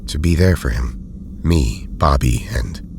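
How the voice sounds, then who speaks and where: An actor playing an erudite, weary but loyal scientist in an audio drama, speaking in formal, deliberate phrases. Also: male voice, very deep voice, animated, vocal fry, background noise, heavily acted. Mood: grave.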